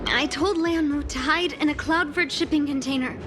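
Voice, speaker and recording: A woman's voice: high-pitched, moderate pace, close to an everyday voice. A woman playing an ailing, lovelorn fugitive in a video game, earnest and sorrowful, pleading as she speaks. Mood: weary, remorseful. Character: anguished long-lived woman, ailing fugitive in love. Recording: loud music behind the voice. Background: game music.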